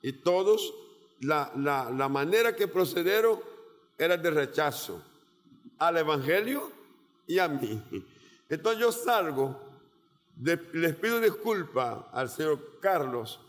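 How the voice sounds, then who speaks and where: A man's voice: fairly high pitch, sing-song. A man with a Portuguese accent delivers a sermon in a slightly raised voice, taking his time over each phrase. His words ring out with the long reverb of a large hall. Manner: slow, deliberate preaching with measured pauses. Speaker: missionary preacher. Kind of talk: sermon with personal testimony.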